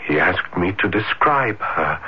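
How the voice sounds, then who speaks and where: Slow, low-voiced lovestruck young romantic. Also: male voice, expressive, breathy edge, full character voice. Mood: earnest, dreamy. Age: young adult. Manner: halting, breathless, florid and reverent. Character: shy young romantic man, ardently lovestruck, fevered dreamer. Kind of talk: old-time radio drama acting. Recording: dull, noisy radio drama broadcast recording.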